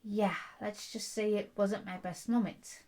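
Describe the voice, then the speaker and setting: Female, headstrong fiancée for ASMR romance roleplay acted by a woman; mid-pitched, blunt, rambling storyteller. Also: steady tone, measured pace, dark tone, close to an everyday voice. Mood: wry recollection. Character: headstrong, stubborn bride-to-be, werewolf prince's future wife. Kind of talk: intimate ASMR roleplay dialogue.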